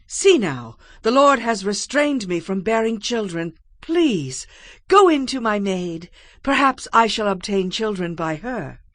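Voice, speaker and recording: An actress playing an aggrieved, earnest wife in a dramatized audio Bible, with a dramatic delivery. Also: female voice, medium pitch, very animated, a little slow, fairly bright tone, no echo. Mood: pleading, resigned. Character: Sarai, aggrieved barren wife of a Bible patriarch.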